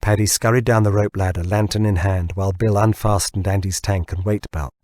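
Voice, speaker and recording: A man's voice: fairly low pitch, steady tone, fairly quick, a little mellow, no echo, studio quality. A man narrating scripted fiction as an audiobook reader.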